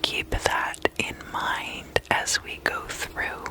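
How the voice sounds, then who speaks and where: A woman whispering in a breathy, unvoiced voice, guiding an ASMR meditation with soft, deliberate phrasing and hypnotically repeated words. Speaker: ASMR host and tarot meditation guide.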